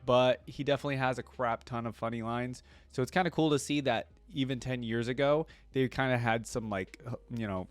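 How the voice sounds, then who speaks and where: A man's voice: on the low side, no echo. A man giving casual reaction commentary as a video host, informal, slangy and rambling.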